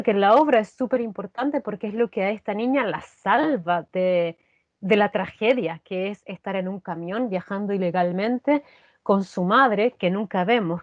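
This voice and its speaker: A woman's voice: medium pitch, dry recording, dull audio. A woman answering as an interviewed actress, reflective and searching, repeating phrases as she explains. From Chile.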